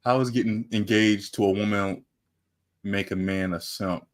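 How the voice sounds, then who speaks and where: A man's voice: lowish voice, fairly even intonation, normal speed. A man co-hosting a reaction show, reacting with teasing disbelief. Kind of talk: casual reaction commentary.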